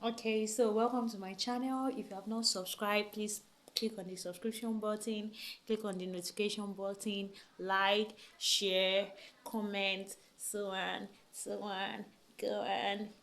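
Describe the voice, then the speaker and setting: Brief: young woman, relationship vlogger, mid-pitched, talky and emphatic, leans on "right?". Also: level tone, unhurried, a bit bright. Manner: chatty, emphatic, many rhetorical questions and "right?" tags. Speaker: vlogger giving relationship advice. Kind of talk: casual talk to camera.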